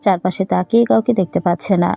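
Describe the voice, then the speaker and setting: A woman's voice: fairly low pitch, steady tone, fast, creaky, warm tone, highs cut off, soft background music. A woman narrating a scripted audio story.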